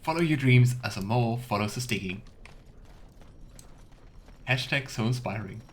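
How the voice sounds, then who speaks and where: A man's voice: mid-range voice, lively intonation, moderate pace, slight background noise. A man giving casual let's play commentary and reading game text aloud, musing and wryly sarcastic. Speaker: game streamer.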